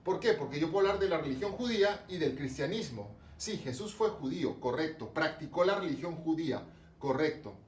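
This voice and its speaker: A man's voice: a little high, animated, fairly quick, echoey room, far from the mic. A man from Lima with a Peruvian accent, giving a religion lesson with lots of rhetorical questions and fillers. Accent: Peruvian Spanish, Lima. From Peru, coast (Lima). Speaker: religion teacher. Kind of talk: online class lecture to students.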